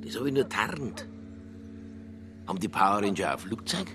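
A man playing a cynical, heavyset Bavarian police detective with a dry, deadpan delivery in a radio crime drama. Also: on the low side, normal speed. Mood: dry, playful. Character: fat, hard-drinking, cynical male police detective, gruffly deadpan. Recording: roomy sound, faint background noise.

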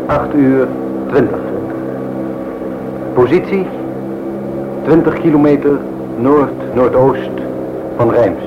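A man playing a calm, experienced airliner captain in a radio drama, terse, matter-of-fact and commanding. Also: medium pitch, slow, gravelly, long pauses, noisy background. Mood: formal dictation. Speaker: actor in a radio play. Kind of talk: Dutch radio drama acting. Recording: dull recording with loud aircraft drone.

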